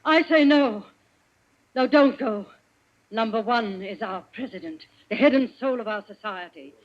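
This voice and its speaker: A fiercely loyal criminal follower in an old radio thriller. The voice is extremely high, emphatic and scolding. The recording is muffled. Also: male voice, measured pace. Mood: indignant, scolding. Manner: emphatic, impassioned. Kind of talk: old-time radio suspense acting.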